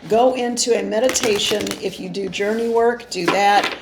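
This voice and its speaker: A woman with a gravelly voice giving an informal spiritual card reading, talking in an earnest, meandering way with gentle encouragement. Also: mid-range voice, normal speed. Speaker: spiritual channeler and card reader. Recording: echoey room with faint noise.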